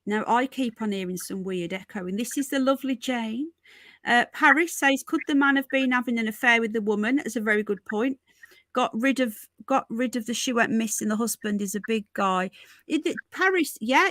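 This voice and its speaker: A woman's voice: a little high, fairly bright tone, dry recording. Chatty woman hosting a livestream. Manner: chatty, repeats herself, calls viewers folks and darling. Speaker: livestream host. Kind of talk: casual live news chat with viewers.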